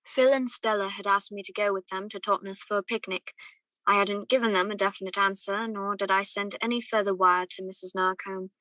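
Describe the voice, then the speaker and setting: Bright-voiced woman as a wistful young gentleman. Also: medium pitch, fairly even intonation, a little fast, dry recording, lightly acted, rough recording. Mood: troubled, pensive. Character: conflicted young gentleman in love, wistful narrator. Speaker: student or guest actor in a radio play. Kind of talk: radio play acting with first-person narration.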